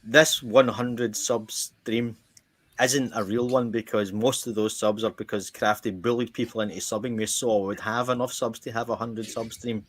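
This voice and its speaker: A man's voice: fairly low pitch, fairly even intonation, normal speed. A middle-aged man with a Scottish accent, chatting casually as a podcast host and telling rambling stories that he keeps interrupting himself to restart. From Ireland. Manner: rambling anecdotes with frequent self-interruptions and laughter. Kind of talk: casual chat.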